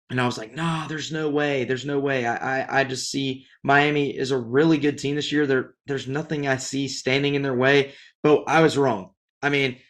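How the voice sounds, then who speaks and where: A young man with a raspy, strained voice, hosting a casual solo sports talk show with many fillers and restarts. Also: fairly high pitch, fairly bright tone. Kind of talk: solo sports podcast commentary.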